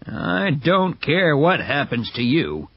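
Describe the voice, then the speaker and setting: A man's voice: a little high, very animated, takes it slowly, husky, muffled recording, full character voice. A man voicing a seasoned, dry-spoken onlooker giving advice in a read-aloud story. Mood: advising. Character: wry, seasoned northern onlooker men.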